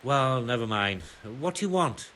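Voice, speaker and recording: An actor playing an irritable medieval scribe in a video game, blunt and grumbling. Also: male voice, medium pitch, expressive, normal speed, bright, forceful tone, rough recording. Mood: grudging, businesslike. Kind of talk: video game cutscene acting.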